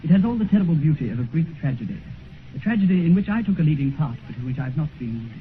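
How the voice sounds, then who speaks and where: An actor playing a vain, heartless young British gentleman in a theatrical, earnest, self-absorbed manner for a 1950s radio drama. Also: a little high, big pitch swings, fast. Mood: self-absorbed, wistful. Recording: muffled 1950s radio recording, faint noise.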